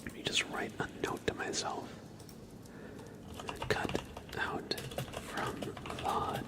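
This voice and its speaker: A man chatting casually as an ASMR livestream host in a soft, breathy whisper, with an informal, halting, rambling way of talking. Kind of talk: ASMR, casual live chat.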